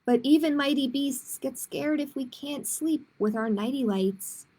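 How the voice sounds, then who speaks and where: A woman's voice: sits high, a little slow, mellow, slight background noise. A woman voicing a small, nervous young storybook dinosaur who tries to be brave, in an earnest, dramatic way.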